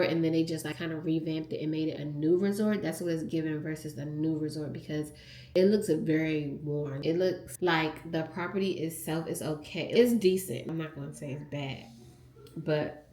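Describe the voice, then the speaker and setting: A woman's voice: sits low, steady tone, moderate pace, dark tone, roomy sound. Nasal, stuffed-up woman vlogging on vacation. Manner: candid, chatty, addresses viewers as y'all. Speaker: travel vlogger. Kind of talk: casual vlog chat to camera. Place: resort on vacation.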